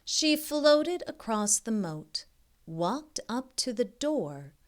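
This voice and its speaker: A woman tutor reads a children's picture book aloud at an unhurried pace. Her pitch rises and falls in lively swings, and she often breaks off to check in with the young listener in an expressive, guiding way. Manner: expressive, pausing to ask and prompt the listener.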